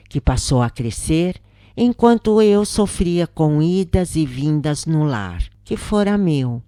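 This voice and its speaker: A Brazilian woman reading a first-person account as scripted audiobook narration, in a measured, solemn manner. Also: low-pitched, mellow, no room sound, studio quality.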